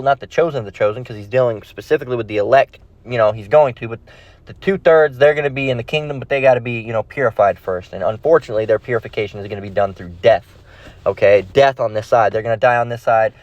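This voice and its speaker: A man's voice: mid-range voice, no room sound. Man preaching informally, dull dry sound. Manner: blunt and profane, confrontational, repeats phrases; slangy, tags phrases with "all right?" and "okay?". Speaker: street-style Bible preacher. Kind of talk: informal impromptu sermon with scripture reading.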